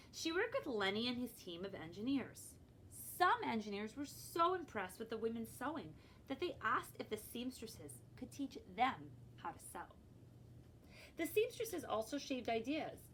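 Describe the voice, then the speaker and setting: A woman with a fairly high, very animated voice reads a children's picture book aloud. She is expressive, stepping out of the text to explain and prompt young listeners. Faint noise sits behind her voice. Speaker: librarian reading aloud to children.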